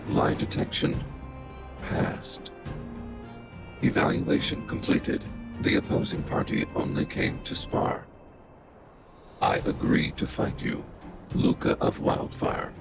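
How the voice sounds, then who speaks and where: A man voicing a huge, stoic combat robot in a video game, speaking in clipped, formal, machine-like phrases with robotic processing. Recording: loud game music behind the voice.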